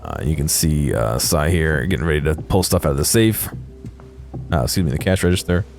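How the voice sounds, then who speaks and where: A man with a low-pitched voice talks through game footage off the cuff. His delivery is casual and somewhat halting, as he thinks aloud. Faint music plays behind him. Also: expressive, gravelly, a little mellow. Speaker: host of a game exploration video. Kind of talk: casual unscripted video game commentary.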